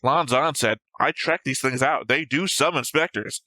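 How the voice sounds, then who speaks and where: A man with a bright, forceful voice, recorded close and dry. He talks casually and argumentatively, picking a story apart point by point, with some swearing. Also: medium pitch, moderate pace, dry recording. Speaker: host of an anime reaction show. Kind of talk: casual discussion and analysis of an anime episode.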